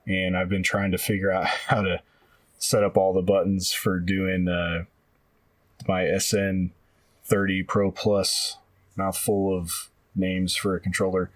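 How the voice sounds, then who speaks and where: Deep male co-host, rambling and casual, sitting a bit back from a dry mic. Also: flat delivery, measured pace, no room sound, far from the mic. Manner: rambling, many fillers and trailing phrases. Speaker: podcast co-host. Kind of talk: casual chat.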